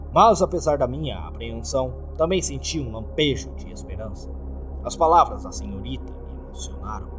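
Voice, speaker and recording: Brazilian guy reading a creepypasta, dramatic storyteller style, mid voice that moves around, bit of music behind. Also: mid-range voice. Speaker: horror story narrator. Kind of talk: dramatic scripted narration. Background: background music.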